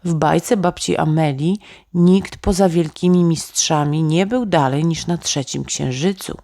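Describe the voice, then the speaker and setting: A woman's voice: low-pitched, measured pace, warm tone, no echo, clean studio sound. A woman reading a children's bedtime story as a scripted narrator.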